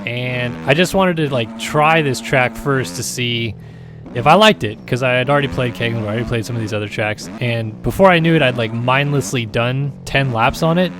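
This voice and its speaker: Tired, raspy-voiced male gaming host. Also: mid-range voice, normal speed, music in the background. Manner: rambling, filler-heavy, easygoing. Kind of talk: casual gameplay commentary.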